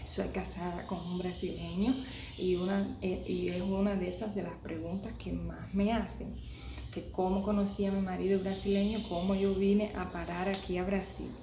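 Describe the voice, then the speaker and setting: A woman's voice: fairly low pitch, flat delivery, normal speed. A Cuban woman in her thirties with a Cuban Spanish accent, talking casually to her viewers with many restarts and hesitations. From Cuba. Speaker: vlogger. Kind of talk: casual talk to camera. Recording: echoey room with background noise.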